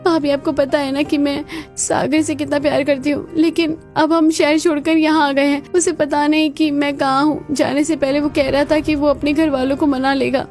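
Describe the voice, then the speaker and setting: Woman acting a lovelorn young cartoon woman, high voice. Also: flat delivery, moderate pace, few pauses, a little mellow, soft background music. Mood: sad, worried. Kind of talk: cartoon story dialogue.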